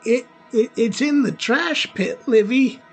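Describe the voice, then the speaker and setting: A man voicing a nervous, earnest wizard in a cozy fantasy radio story, stammering and halting as he talks. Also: very high voice, slightly rough, faint music behind, pitched above the performer's own voice, heavily acted. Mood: alarmed. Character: flustered, earnest wizard, nervous and easily startled.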